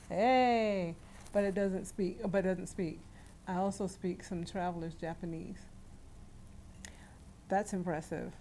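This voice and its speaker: A woman's voice: fairly low pitch, warm tone, a little hiss or hum. A woman hosting a casual livestream from home, reading out viewer comments and chatting with her family, laughing often. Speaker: livestream host.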